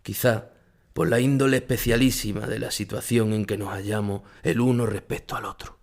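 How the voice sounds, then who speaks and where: A male actor with a Spanish accent playing an ageing, reflective, melancholy bullfighter, narrating memories in a measured, intimate way. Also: on the low side, steady tone, gravelly, a little mellow. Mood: wistful. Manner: measured, solemn, intimate storytelling. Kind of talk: first-person literary narration in an audio drama.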